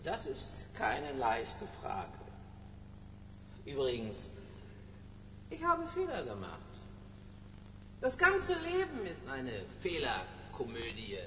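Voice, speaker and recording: An actor playing a drugged, decadent villain in a radio drama, flippant and slippery, with a theatrical, mocking manner. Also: male voice, medium pitch, wildly swooping pitch, slow, long pauses, pushed and bright, heavily acted. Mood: mocking, blasé. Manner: theatrical, mocking, wildly swooping. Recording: reverberant hall, faint noise.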